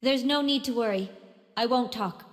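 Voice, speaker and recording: A woman's voice: fairly high pitch, normal speed, natural voice. A woman voicing a naive, obedient, emotionally detached young living weapon in an anime game dub, earnest and stilted. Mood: submissive. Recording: long hall reverb, music underneath.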